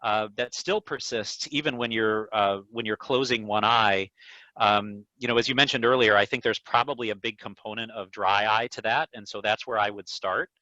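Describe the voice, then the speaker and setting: A man speaking as an eye doctor, explaining things to a patient in a measured, careful way over a video call. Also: fairly low pitch, steady tone, bright, forceful tone, dry recording. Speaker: neuro-ophthalmologist answering patient questions. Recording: dull, lo-fi video call audio.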